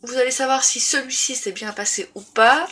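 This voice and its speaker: A woman's voice: sits high, normal speed, sharp tone. A woman with a cold and a sore throat, chatting casually about books in a vlog, rambling with lots of fillers and restarts. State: congested with a cold. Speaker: booktuber. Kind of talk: casual book review vlog.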